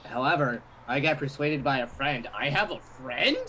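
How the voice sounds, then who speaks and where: A man's voice: mid-range voice, moderate pace, pushed and bright, poor audio. A man giving casual let's-play commentary and reading aloud, with sarcastic asides. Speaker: amateur let's-player. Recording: faint background noise.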